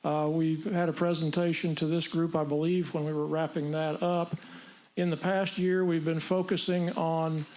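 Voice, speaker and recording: A man with a fairly high voice gives measured, factual answers at a meeting. His pitch stays flat and even as he explains. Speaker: technical staff answering questions. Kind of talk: public meeting Q&A.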